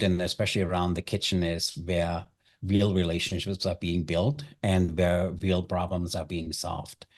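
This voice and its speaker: Deep-voiced Austrian man. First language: German. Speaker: chef and hospitality guest. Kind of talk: conversational interview storytelling.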